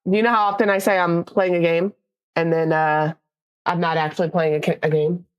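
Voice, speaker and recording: A woman's voice: lowish voice, fairly even intonation, fairly quick, clear, resonant tone, lo-fi sound. Young woman streamer with a stuffy, slightly nasal voice. Chatty and rambling, laughs easily, casual live chat. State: congested, a little nasal.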